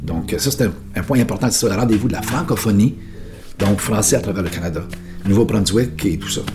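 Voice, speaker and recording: A man's voice: lowish voice, fast. A man talking in a casual interview, explaining his ideas earnestly and thinking aloud as he goes. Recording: guitar music playing behind the voice.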